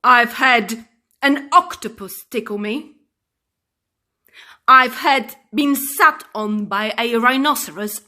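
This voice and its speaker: Storybook role: indignant TV man, pompous and scolding, emphatic delivery played by a woman, animated pitch swings. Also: full character voice. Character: furious, scolding, pompous television-making man. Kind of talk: children's storybook character voice.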